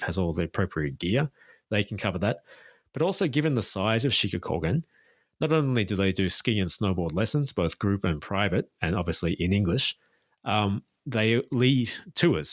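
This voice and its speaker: Man, tour guide on a podcast, fairly low voice, dry close sound, informative and keen. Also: no echo. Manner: detailed, informative, enthusiastic recommendations. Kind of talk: casual interview chat.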